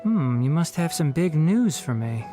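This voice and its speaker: A man voicing a calm forest ranger in a game, speaking slowly and in a measured way. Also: a little high, music in the background.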